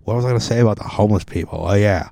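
A man's voice: fairly low pitch, rapid-fire, warm tone, no echo, lightly acted. A man voicing a rambling male caller with a Californian accent, meandering and full of fillers, in a comic podcast bit.

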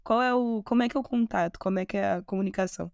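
A woman's voice: medium pitch, very fast, gravelly, smooth, dry recording, clean studio sound. A woman from Brazil with a Brazilian accent hosts a podcast, putting questions in a casual, chatty way. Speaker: podcast host, interviewer. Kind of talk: casual interview chat.

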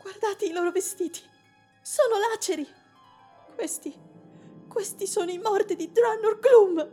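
A woman's voice: extremely high pitch, a little slow, dark tone, soft background music. A woman playing a frightened young woman in a radio drama. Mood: fearful. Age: young adult. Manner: fearful.

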